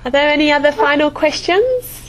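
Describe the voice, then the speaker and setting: A woman's voice: high-pitched, animated, brisk, noisy background. A woman hosting an informal conference call with a gracious, friendly manner.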